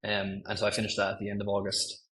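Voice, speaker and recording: A man's voice: on the low side, completely flat delivery, fast, pushed and bright. A man in his thirties with an Irish accent, chatting as a podcast guest, rambling with lots of ums and self-corrections. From Ireland. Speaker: guest, machine learning engineer. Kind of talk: casual podcast chat.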